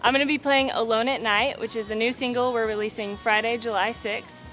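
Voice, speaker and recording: A woman's voice: fairly high pitch, fairly even intonation, fairly quick, fairly bright tone, faint music behind, rough recording. A young adult woman, a singer-songwriter, talking casually and candidly in an interview, peppering her speech with "like" and "you know".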